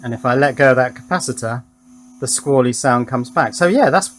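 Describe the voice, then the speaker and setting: A man's voice: mid-range voice, brisk, faint music behind. A man giving a casual hands-on electronics demonstration, thinking aloud in a chatty way and often putting little questions to himself. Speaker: host of an electronics workshop video.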